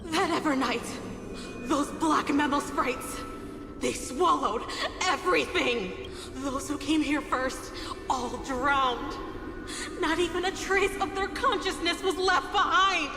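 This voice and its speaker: Casting: broken, hysterical woman in a video game; extremely slow, halting, giggling and sobbing, reverberant, music underneath. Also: high-pitched, fairly even intonation. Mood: hysterical terror. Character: crazed, terrified female memo snatcher, broken and laughing. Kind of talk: dramatic video game acting. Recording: echoey hall reverb, music behind.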